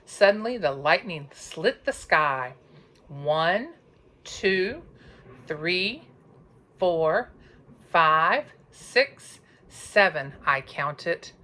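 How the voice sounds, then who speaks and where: Slow, animated woman reading a storybook. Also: lowish voice. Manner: dramatic, drawn-out emphasis on sound words and counting. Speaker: teacher reading aloud. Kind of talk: expressive children's storybook narration.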